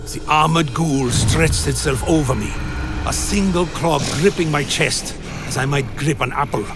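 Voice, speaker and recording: A man playing an anxious vampire who recounts his own dark tale in a dramatic radio play. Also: a little high, moderate pace, noisy background, close to an everyday voice. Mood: grim, recounting. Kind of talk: first-person dramatic narration, radio play.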